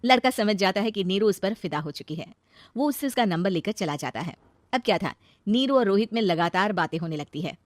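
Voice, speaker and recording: A woman's voice: mid-range voice, expressive, fairly quick, no echo. A woman narrating a scripted moral story as the storyteller.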